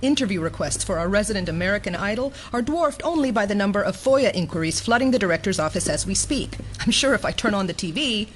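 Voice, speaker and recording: A woman's voice: medium pitch, animated, quick pace, few pauses, natural voice. Exasperated female assistant director, played by an actress, medium register, dry authority, audio drama, light background noise. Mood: stern, sardonic. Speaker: senior FBI official.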